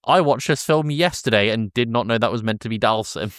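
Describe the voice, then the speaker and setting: A man's voice: mid-range voice, a little fast, a bit bright, clear, resonant tone, no echo, studio quality. A man hosting a podcast, casually discussing film with a pedantic, detail-minded way of talking. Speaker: podcast host.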